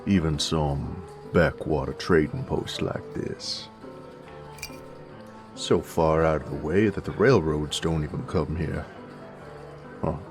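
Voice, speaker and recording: A man playing a gruff, teasing werewolf bounty hunter in a Western audio roleplay, with a gravelly American Western drawl and a folksy, coarse manner. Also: extremely low pitch, slow pace, pauses often, music in the background. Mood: wry, relaxed.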